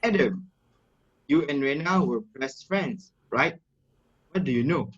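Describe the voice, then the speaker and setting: Give me a man as a slow, husky, stubborn student. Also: on the high side, lively intonation, highs cut off. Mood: dismissive. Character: blunt, dismissive student, stubborn and cool. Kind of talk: amateur dramatic reading.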